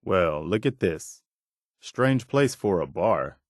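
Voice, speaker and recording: An actor playing an earnest, wary militia man in a post-apocalyptic video game, speaking in a measured, conversational way. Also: low-pitched, expressive, no echo, studio quality. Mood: watchful, conversational. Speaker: video game companion character. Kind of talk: game voice acting, short spoken reactions.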